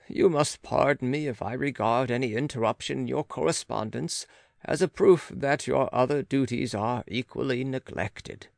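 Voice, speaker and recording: A man voicing an older father who speaks formally and with gentle reproof in an audiobook. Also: mid-range voice, normal speed, gravelly, no echo, clean studio sound, natural voice. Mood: gently reproving.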